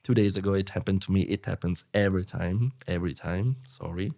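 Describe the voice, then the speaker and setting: A man's voice: low-pitched, a little fast, creaky. A man from Italy with an Italian accent, chatting as a guest on a podcast and acting out what other people said. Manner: animated, mimics others in quoted asides. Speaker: guest guitarist. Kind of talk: casual podcast conversation.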